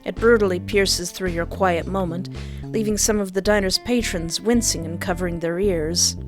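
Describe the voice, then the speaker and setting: A woman hosting a game playthrough, reading narration aloud and reacting casually in a playful, expressive way. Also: lowish voice, moderate pace, over music.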